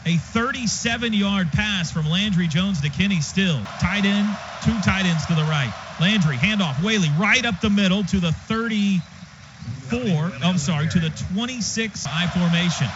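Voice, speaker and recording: Casting: American male football play-by-play announcer, high voice, clipped and excitable, over a dull, noisy stadium radio feed. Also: normal speed. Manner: clipped present-tense phrases, excitable on big plays.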